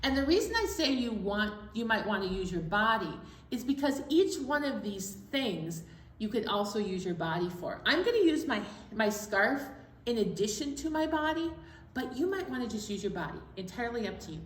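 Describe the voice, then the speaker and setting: A woman's voice: a little high, sing-song, normal speed. A woman hosting a children's storytime, chatting and explaining things cheerfully to young children. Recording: echoey room, distant mic, faint noise.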